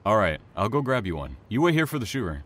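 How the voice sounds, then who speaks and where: An actor playing a calm, courteous, quietly intense young man in an audio drama, speaking in a measured, understated way. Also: lowish voice. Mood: charmed, gentle. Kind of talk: naturalistic audio drama acting.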